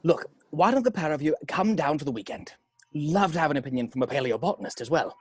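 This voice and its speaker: A man's voice: on the high side, animated, fast talker, husky, no room sound. A man playing a charming, brash seventy-year-old tycoon in a script table read, with a jovial, showy delivery. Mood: persuasive.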